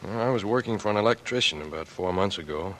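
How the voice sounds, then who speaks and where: An actor playing a guilt-ridden, weary young man turned burglar, grave and halting, in 1940s radio drama style. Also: deep voice, animated, a bit bright, a little hiss or hum. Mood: confessional, subdued.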